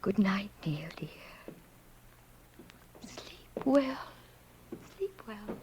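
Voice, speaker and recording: An actress playing a frail, doting elderly aunt in an old radio thriller, sweet, eerie and plaintive, slipping into breathy whispers. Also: female voice, medium pitch, lively intonation, extremely slow, darkish tone, slight background noise. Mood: gentle. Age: older. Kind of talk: 1940s-50s radio thriller acting. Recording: muffled vintage radio recording, music behind.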